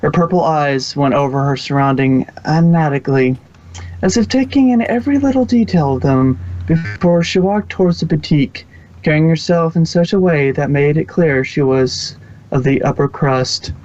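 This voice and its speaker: A man's voice: on the high side, normal speed, a little mellow. A man narrating a fan fiction story aloud as an amateur reader, in storybook style. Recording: dull, lo-fi home microphone.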